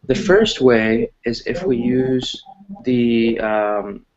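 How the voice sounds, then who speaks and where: A man's voice: medium pitch, fairly even intonation, very slow, a crowd in the background. A man with an American accent teaches English over an online call. He explains in an encouraging, conversational way and often checks that listeners follow. The sound is a little dull and muffled. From United States. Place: online video class.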